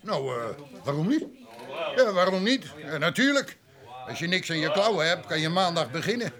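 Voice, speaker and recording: A man's voice: sits high, expressive, moderate pace, a crowd in the background. An actor playing a jovial, boastful middle-aged innkeeper and jealous father in a radio drama, garrulous and exclamatory. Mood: jovial, proud. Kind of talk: Dutch radio drama acting.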